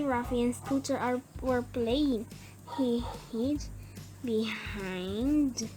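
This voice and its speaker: A woman reading a children's bedtime story aloud in short, halting phrases, word by word. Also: on the high side. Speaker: story reader. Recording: music playing behind the voice. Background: music.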